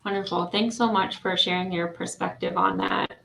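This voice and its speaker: A woman's voice: mid-range voice, fairly even intonation, normal speed, creaky, a bit bright, reverberant, a little hiss or hum, rough recording. A woman moderating an online panel on a video call, asking questions and thanking the guest politely.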